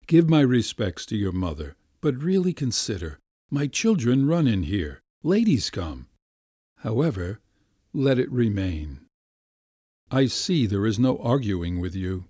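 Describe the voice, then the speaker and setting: A polite middle-aged doctor hems and hesitates, embarrassed, as a man voices him. His voice is low and mellow, with a lively rise and fall, recorded dry. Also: unhurried, dry recording. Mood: flustered. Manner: hesitant, mildly flustered.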